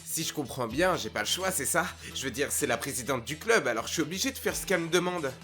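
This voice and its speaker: A man acts out a blunt teenage high school boy from a dubbed anime. His delivery is expressive and indignant, with very lively pitch. Light music sits behind him. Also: fast. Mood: puzzled, resigned. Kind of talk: French anime fan dub acting.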